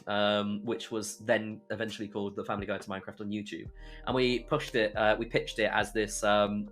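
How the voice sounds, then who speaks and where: Deep-voiced young British man, chatty podcast guest. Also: fairly bright tone. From United Kingdom. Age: young adult. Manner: chatty, rambling. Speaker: podcast guest, YouTuber and streamer. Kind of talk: casual podcast storytelling.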